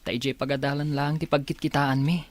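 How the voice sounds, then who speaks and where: A man's voice: fairly high pitch, level tone, fast talker, natural voice. A man playing a dutiful young student son talking with his mother in a Philippine radio drama. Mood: explaining. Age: young adult.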